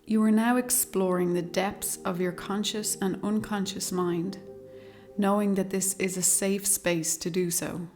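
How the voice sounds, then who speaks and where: A woman leading a guided meditation, speaking slowly and calmly in a soothing, measured way with long pauses. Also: medium pitch. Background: meditation music with singing bowls.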